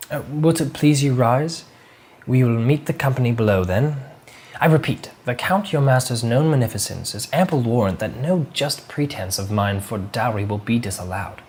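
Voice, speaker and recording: A man's voice: lowish voice, a little hiss or hum. A man playing a haughty, possessive duke. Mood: cold, formal. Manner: measured, formal dramatic recitation. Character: proud, possessive, coldly controlling male duke. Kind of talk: dramatic monologue performance.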